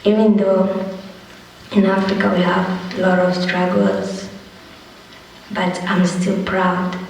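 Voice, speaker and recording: A young refugee reading a prepared account and a poem in a slow, steady way. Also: medium pitch, a little hiss or hum. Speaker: young refugee telling own story. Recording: distant voice in a reverberant hall.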